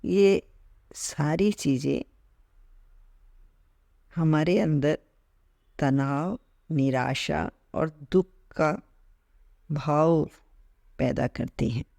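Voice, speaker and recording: Slow, mellow male meditation guide. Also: a little high, vocal fry, dry recording, clean studio sound. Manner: measured, phrase-by-phrase delivery with gentle pauses; mixes English words into Hindi, repeating terms in both.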